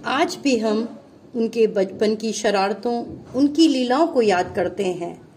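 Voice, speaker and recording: A woman's voice: fairly high pitch, lively intonation, slow, darkish tone, slight background noise. A woman narrating a scripted children's story as the narrator of a puppet show.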